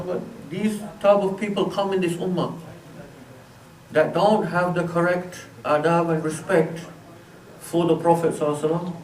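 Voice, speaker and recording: Guy lecturing in a mosque, kinda high voice, lots of "you know", echoey and far off. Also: a little mellow. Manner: conversational, many "you know" fillers. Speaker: Islamic teacher. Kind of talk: religious lecture commentary. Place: mosque prayer hall. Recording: echoey mosque hall, distant mic.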